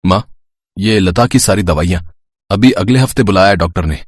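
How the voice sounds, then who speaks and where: A man's voice: deep voice, a little fast, slightly rough, no echo, studio quality. A man voicing a dutiful, somewhat bossy adult son and husband in a moral story, with a firm manner. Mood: concerned. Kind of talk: scripted Hindi moral-story cartoon voice.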